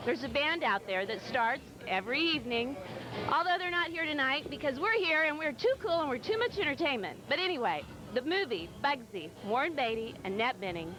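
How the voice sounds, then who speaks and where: A woman's voice: sits high, sing-song, brisk, low-quality recording. A woman hosting a local TV show on location, chatty, playful and teasing her guests. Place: busy downtown bar with live music. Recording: loud bar music behind.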